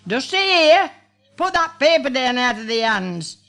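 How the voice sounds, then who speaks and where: An old woman doing a gruff Yorkshire husband. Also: sits high, big pitch swings, a little slow, a bit bright, pitched above the performer's own voice, exaggerated voice. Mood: indignant. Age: 80s.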